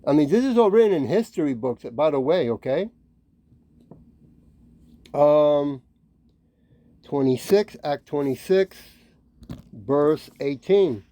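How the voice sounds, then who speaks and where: A man giving an informal, admonishing sermon, talking conversationally with frequent checking tags and pausing to read scripture. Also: on the high side, lively intonation, dark tone. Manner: conversational, peppered with "right?" and "okay?" tags; admonishing, warning. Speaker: religious street-style preacher and teacher.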